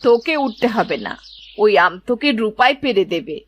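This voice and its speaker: A woman voicing a cruel village aunt in a cartoon, sharp-tongued, scolding and commanding. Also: mid-range voice, big pitch swings, moderate pace, a little hiss or hum, natural voice. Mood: bossy. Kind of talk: melodramatic cartoon voice acting.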